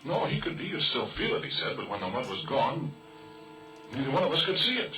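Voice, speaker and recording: An actor playing a folksy oil-rig worker who rambles through an eerie yarn in homespun grammar on an old radio drama. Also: male voice, medium pitch, sing-song, fairly quick, pushed and bright, faint music behind. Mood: excited. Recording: vintage radio recording, roomy and distant.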